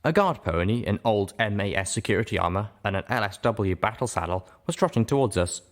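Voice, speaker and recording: A man reading a first-person story aloud as an expressive audiobook narrator. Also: lowish voice, brisk. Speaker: audiobook reader.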